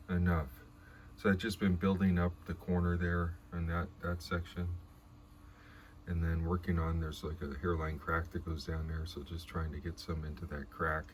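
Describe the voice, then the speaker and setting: Male DIY restorer, low register, casual and halting, thinking aloud, with clear background noise. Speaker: vlogger restoring an old radio. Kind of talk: casual hands-on demonstration commentary.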